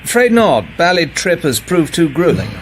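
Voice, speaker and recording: A man's voice: a little high, very animated, moderate pace, slight background noise. A man playing a cool, dry British secret agent in a radio drama, with a British accent and clipped delivery. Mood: breezy.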